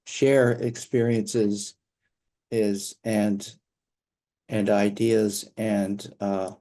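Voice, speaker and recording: A man being interviewed speaks slowly in a dark, relaxed voice. He is halting, pausing often to find the next word as he gives his conversational answers. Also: lowish voice. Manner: halting, pausing to search for words.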